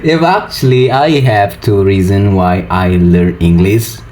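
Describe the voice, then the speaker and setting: Very low, unhurried Indonesian man with halting English. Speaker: language-learning vlogger. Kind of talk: casual vlog and video-call chat. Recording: some steady background noise.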